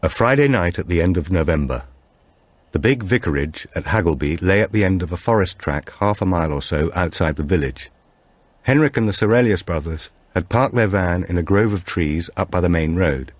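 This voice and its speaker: Low, husky male thriller narrator. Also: lively intonation, no room sound. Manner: measured, suspenseful storytelling. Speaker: audiobook narrator. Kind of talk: dramatic scripted narration of a thriller.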